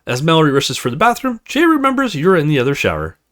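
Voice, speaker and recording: A man's voice: on the high side, huge pitch swings, fast talker. A man hosting a gaming video, narrating a visual novel aloud and adding his own casual comments. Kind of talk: let's play narration, reading a story aloud. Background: soft guitar music.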